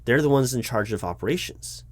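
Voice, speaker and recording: A man playing a flustered human resources manager in an audio roleplay, explaining insistently to his boss in a halting, repetitive way. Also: medium pitch, animated, natural voice. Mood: insistent, lecturing. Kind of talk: one-sided improvised audio roleplay, loud spoken.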